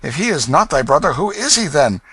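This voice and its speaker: A man doing a flustered kindly old gentleman. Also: animated, slow pace, vocal fry, dry recording, full character voice. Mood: shocked reproach. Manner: high, fluttering, exclamatory.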